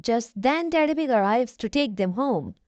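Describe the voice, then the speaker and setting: A woman's voice: on the high side, lively intonation, moderate pace, a little mellow, dry recording, dull audio. A woman reading a storybook aloud expressively for young children, speaking to them directly as the host.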